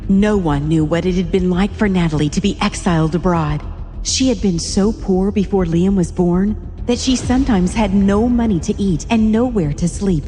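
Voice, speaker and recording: A woman's voice: on the low side, mellow, long reverb tail. A woman narrating a dramatic story from a script.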